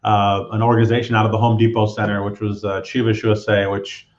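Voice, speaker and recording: A man from Southern California, a guest telling his background in a relaxed, roundabout way. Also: fairly low pitch, quick pace, roomy sound, dull audio. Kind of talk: casual interview storytelling.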